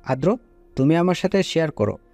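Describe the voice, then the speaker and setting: A man performs a chatty young fellow in a family audio drama. His voice is high for a man and swings animatedly up and down. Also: lively intonation, brisk, mellow, dry recording, soft background music. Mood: playful.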